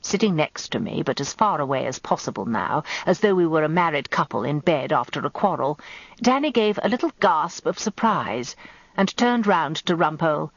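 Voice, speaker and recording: British female narrator, older, prim and precise. Lively intonation, close dry sound, radio drama storytelling. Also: deep voice, normal speed, no room sound, lo-fi sound. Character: proper, tart older English barrister's wife recounting events. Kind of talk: first-person dramatic narration, confiding storytelling.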